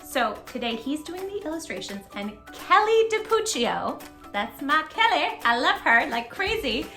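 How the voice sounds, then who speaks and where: A woman reads and chats to young children as a storytime host. Her voice is very bright and fairly high, with animated, emphatic delivery. Music plays along with her. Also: measured pace. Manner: animated, emphatic, talking directly to small children. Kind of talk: children's read-aloud and chat to young viewers.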